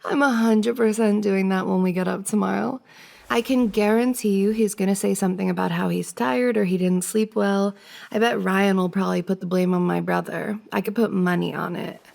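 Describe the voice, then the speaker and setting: A woman's voice: medium pitch, steady tone, normal speed, creaky, darkish tone. A woman playing a friend's giggly, teasing older sister in an intimate, conversational ASMR roleplay. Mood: amused banter.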